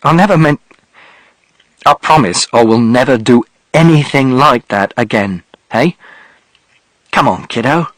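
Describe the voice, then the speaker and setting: A man reading an audiobook voices a furious middle-aged father who shouts and pleads. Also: mid-range voice, a little slow, pauses often, dry recording, lightly acted, low-quality recording. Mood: pleading. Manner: shouting, raw, then pleading.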